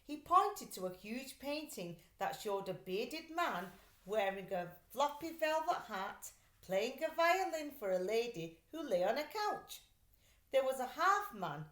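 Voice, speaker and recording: A woman reading a children's story aloud like a teacher, with expressive, emphatic delivery. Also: a little high. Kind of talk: scripted storybook narration. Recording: echoey room.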